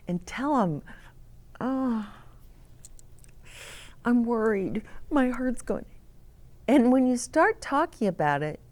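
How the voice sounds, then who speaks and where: A woman hosting storytime for children, reading aloud and pausing to explain gently and ask the listeners questions. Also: fairly low pitch. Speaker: children's storyteller, host of a read-aloud channel. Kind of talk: read-aloud storytime with asides to young children.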